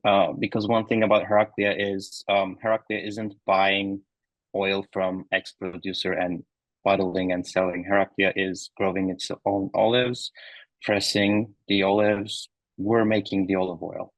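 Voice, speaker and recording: Deep-voiced man from Turkey, thirties, podcast guest, dry close sound, hesitant ums. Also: a little slow, no echo, rough recording. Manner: relaxed, with many ums and uhs. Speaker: founder and guest. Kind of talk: casual interview answers. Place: remote recording.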